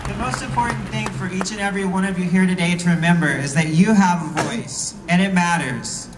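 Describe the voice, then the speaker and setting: A man delivering a prepared rally speech in an earnest, deliberate way. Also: high-pitched. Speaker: rally speaker. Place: outdoor rally, recorded from within the crowd. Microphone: PA heard from far camera. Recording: distant, echoey outdoor PA with noise.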